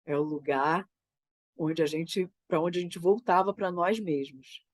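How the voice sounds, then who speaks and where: A woman with a Brazilian Portuguese accent, a theater creator getting over a cold, talking about her work in a reflective, halting way and often tagging her sentences with "né?". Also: fairly low pitch, darkish tone, no room sound. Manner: thoughtful, halting, frequent "né?" tags. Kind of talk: reflective conversation.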